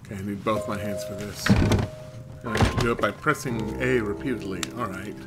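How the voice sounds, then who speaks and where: A man's voice: on the low side, fairly even intonation, brisk, loud music behind the voice. A man streaming a horror game, chatting and reading in-game notes aloud, sometimes stumbling over names. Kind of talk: let's play commentary and reading notes aloud.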